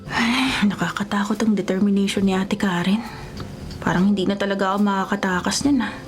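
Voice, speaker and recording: A woman's voice: mid-range voice, fairly even intonation, fairly quick, close to an everyday voice. A woman with a Filipino accent playing a meek, hesitant young tomboy in a radio drama. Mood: uneasy, inward musing. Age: young adult. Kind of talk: Tagalog radio drama acting. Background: music bed.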